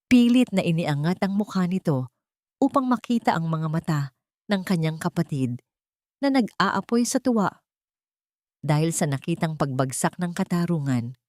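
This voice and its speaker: A woman tells a dramatic story from a script. Her voice is slightly low, with a solemn and suspenseful delivery. The recording is dry, with no room sound. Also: expressive, normal speed, long pauses, darkish tone, no echo. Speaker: story narrator.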